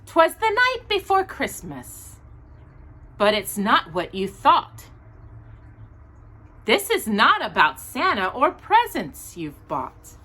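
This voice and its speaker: Storytime lady reading to kids, bright clear-cut delivery, takes it slow, casual little asides. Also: on the high side. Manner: animated, deliberate storybook delivery. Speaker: storytime channel host reading to children. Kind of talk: expressive read-aloud storytelling with casual asides.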